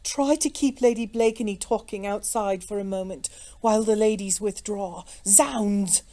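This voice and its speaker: A brash young aristocrat from a storybook, voiced by a woman. He speaks in an exasperated, admonishing manner, emphatic yet soft-edged and mellow. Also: medium pitch, moderate pace, lightly acted.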